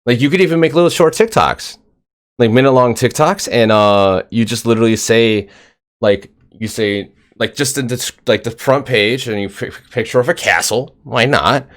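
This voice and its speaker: A man's voice: mid-range voice, normal speed. A man hosting a casual interview podcast, talking in a loose, rambling way with lots of fillers. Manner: rambling, filler-heavy, upbeat. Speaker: podcast host.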